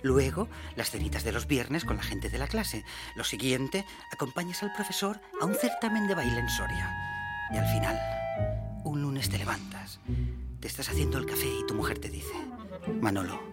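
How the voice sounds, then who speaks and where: Actor doing washed-up middle-aged music producer, touchy and grumbly, radio comedy, medium pitch. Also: male voice, big pitch swings, normal speed, lightly acted. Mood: bitter, exasperated. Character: jaded, touchy, has-been male music producer. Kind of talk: comedic audio drama.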